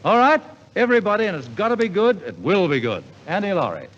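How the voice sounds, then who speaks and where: Hearty, high-pitched radio showman in a hall. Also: sing-song, fast talker, a bit bright. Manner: hearty, exhorting the audience to join in. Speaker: radio show host and community singing leader. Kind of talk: 1950s live radio variety compering. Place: concert hall stage before a live audience. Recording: dull vintage radio recording in reverberant hall. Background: music and audience.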